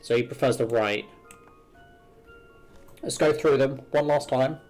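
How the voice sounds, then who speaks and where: A man's voice: mid-range voice, unhurried, a little mellow. A man streaming a video game, thinking aloud as he works through puzzles and reads them out. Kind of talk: live game commentary, reading puzzles aloud. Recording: game music behind the voice. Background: video game music.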